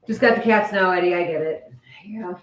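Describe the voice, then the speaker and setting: A woman's voice: lowish voice, brisk, a little hiss or hum. A woman chatting casually and informally with viewers as co-host of a live stream. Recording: echoey room.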